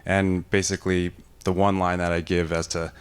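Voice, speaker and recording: A man's voice: low-pitched, normal speed, smooth. A man and former camp counselor speaking energetically on a conference stage with a handheld mic, describing his work on a panel. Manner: energetic, self-described loud voice. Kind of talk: conversational panel talk. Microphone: handheld microphone.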